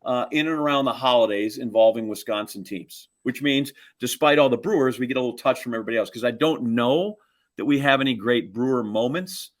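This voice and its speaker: A man's voice: medium pitch, brisk. A middle-aged man co-hosting a podcast, chatting casually about sports with dry, teasing sarcasm. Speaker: podcast co-host.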